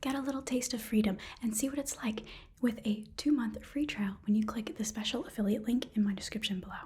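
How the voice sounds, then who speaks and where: Asmr girl doing a sponsor read, really breathy whisper, casual chatty woman. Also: on the high side, fairly even intonation, fast, darkish tone. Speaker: ASMR creator reading a sponsor message.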